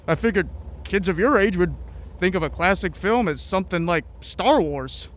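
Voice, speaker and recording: A chatty older motorist for an audio drama. His voice sits very high, and he talks in a courteous, old-fashioned, folksy way that flusters easily. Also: animated, moderate pace, fairly bright tone. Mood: cheerful, curious.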